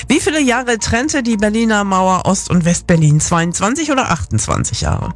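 Female radio presenter for a quiz segment: animated, informal, encouraging, with a music bed underneath. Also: mid-range voice, moderate pace. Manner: informal, encouraging, frequent short interjections. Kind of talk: chatty live radio quiz moderation. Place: radio studio.

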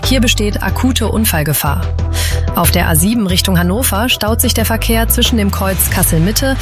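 A radio presenter reading traffic and weather briskly and evenly. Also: mid-range voice, over music. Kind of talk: scripted radio announcement.

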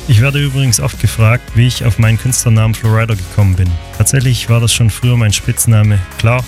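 Lowish male radio host over guitar music. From Germany. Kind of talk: personal radio moderation. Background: guitar music bed.

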